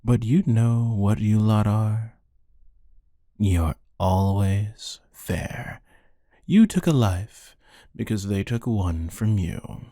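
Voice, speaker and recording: A man playing a menacing, amused hired killer who taunts a captive in a deadpan, unhurried ASMR roleplay monologue. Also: lowish voice, dry recording, clean studio sound. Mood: mocking.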